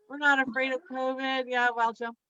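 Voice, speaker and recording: A woman doing a conversational stand-up comedy set in a halting, scattered, giggly way. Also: high-pitched, fairly bright tone, smooth, no echo, muffled recording. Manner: halting and scattered, giggles through own remarks.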